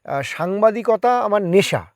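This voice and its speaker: A man's voice: sits high, lively intonation, measured pace, dry recording, clean studio sound. A man answering conversationally as an interview guest, explaining things and mixing in English words. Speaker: guest, part-time journalist.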